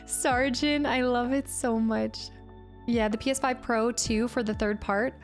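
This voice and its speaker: Higher-pitched woman streaming casually over music. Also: steady tone, unhurried. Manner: chatty, murmuring to self and replying to viewers. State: slightly congested. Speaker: livestream host. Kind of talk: casual live stream chat while building.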